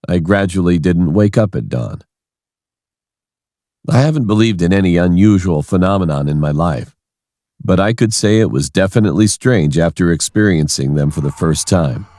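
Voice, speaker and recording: A man narrating a scripted first-person horror story in a measured, dramatic storytelling manner. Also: sits low, darkish tone, no room sound, clean studio sound.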